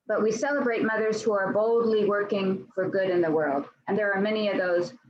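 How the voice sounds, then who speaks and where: A woman minister addressing her congregation over a video call in a measured way, with hesitant pauses. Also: mid-range voice, fairly even intonation. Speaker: church minister. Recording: dull lo-fi video call, background noise.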